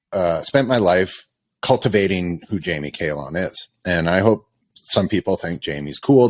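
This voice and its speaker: A man with a very low voice, hosting a podcast in a studio. He is from Canada and talks with lively energy, joking and confessing to his guests in casual chat. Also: no room sound. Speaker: podcast host.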